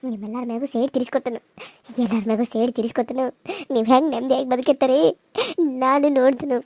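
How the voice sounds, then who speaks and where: A young woman wails for her dead mother, sobbing and accusing her relatives, played by a woman. The voice is high-pitched and warm. It is recorded close and dry. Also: level tone, fast talker, no echo. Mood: grieving. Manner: wailing, accusing, vowing revenge. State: crying.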